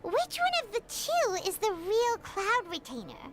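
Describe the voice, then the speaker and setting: An actress voicing a tiny, excitable, childlike fairy companion in a video game, perky and cheeky. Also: female voice, extremely high pitch, a little slow, heavily acted. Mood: puzzled. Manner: perky, speaks of herself in third person. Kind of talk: scripted video game dialogue. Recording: music playing behind.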